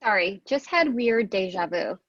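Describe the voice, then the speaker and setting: A woman's voice: medium pitch, lively intonation, normal speed, a bit bright, no room sound. A woman playing a defensive, mocking young sitcom woman in a script read with dull lo-fi audio. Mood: awkward.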